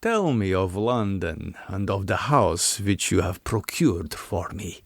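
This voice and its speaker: Old nobleman vampire, slow, deliberate, courtly, proud, menacing, gothic audiobook delivery, dry sound. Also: low voice, big pitch swings, breathy edge, no room sound, heavily acted. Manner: slow, grandly formal, deliberate phrasing. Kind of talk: gothic audiobook character reading.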